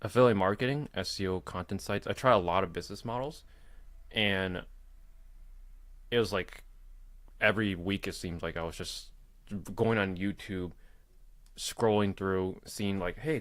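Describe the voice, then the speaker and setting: A young man in his early twenties hosts a casual live question-and-answer stream, telling his story in a loose, rambling way. His voice sits on the low side. The sound is dry and close, with no room around it. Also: moderate pace, pauses often, no room sound. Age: 20s. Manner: relaxed, rambling with fillers and laughs. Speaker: host.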